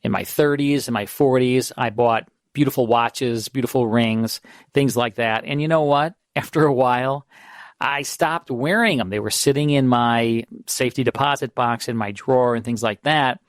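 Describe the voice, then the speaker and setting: A middle-aged male radio host talking in a relaxed, chatty way. He is close on a studio mic with a dry, dead sound. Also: mid-range voice, moderate pace, dry recording. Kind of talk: casual radio hosting and ad read.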